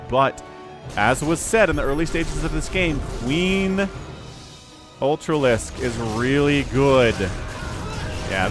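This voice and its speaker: A man in his forties giving lively, excitable play-by-play commentary on a strategy game match. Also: medium pitch. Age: 40s. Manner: animated, excitable, self-deprecating asides. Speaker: game caster. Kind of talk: esports commentary.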